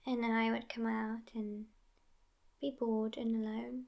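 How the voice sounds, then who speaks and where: Woman playing a slow, whispery, world-weary vampire girlfriend. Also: on the high side, flat delivery, gravelly, darkish tone. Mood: bored, wistful. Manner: languid, disdainful, pausing with thoughtful hums. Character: sassy, world-weary, centuries-old female vampire girlfriend on a date. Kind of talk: whispery soft-spoken ASMR roleplay.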